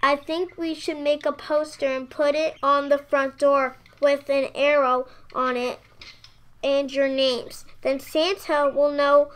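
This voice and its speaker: A woman's voice: high voice, level tone, slow. A young female performer playing a friendly, eager little neighbor girl in a radio play.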